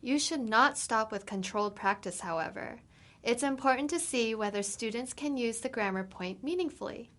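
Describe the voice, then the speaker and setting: A woman English instructor giving a scripted grammar lesson in a measured, explanatory way. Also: a little high, lively intonation, a bit bright.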